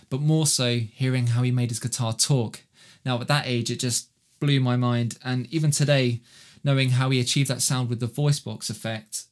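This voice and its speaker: Guy doing a casual guitar pedal explainer, normal mid pitch voice, tutorial style. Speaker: guitar effects demonstrator and presenter. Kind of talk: casual explanatory video presentation.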